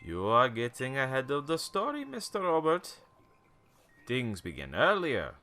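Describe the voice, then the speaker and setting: A slow-talking, swooping old storyteller. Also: male voice, medium pitch, huge pitch swings, pushed and bright, exaggerated voice. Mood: reminiscing. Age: older. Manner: cryptic, theatrical, rambling storytelling with knowing chuckles. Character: wary, cynical old male townsman, former shopkeeper, storyteller. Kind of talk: radio play acting.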